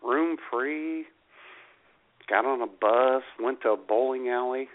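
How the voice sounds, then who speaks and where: A man's voice: mid-range voice, slow, narrow, tinny audio. A man co-hosting a comedy radio show with casual banter and dry, brief reactions, on thin-sounding audio.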